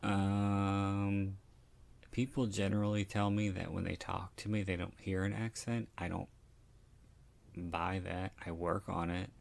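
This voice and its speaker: A man from Philadelphia with a South Philly accent, giving a casual, rambling and halting film commentary full of candid asides. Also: sits low, flat delivery, creaky. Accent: South Philadelphia American. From Philadelphia, United States. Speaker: podcast host.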